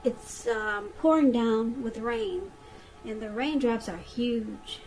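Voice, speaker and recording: A woman's voice: fairly high pitch, takes it slowly, a little mellow, rough recording. A woman giving a conversational tarot reading straight to the listener, repeating phrases for emphasis. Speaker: tarot reader.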